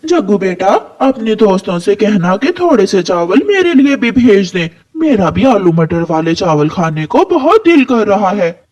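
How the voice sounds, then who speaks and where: A woman's voice: mid-range voice, sing-song, a little slow, darkish tone, dull audio. A woman voicing a kindly, motherly adult female bird in a children's cartoon story. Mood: coaxing.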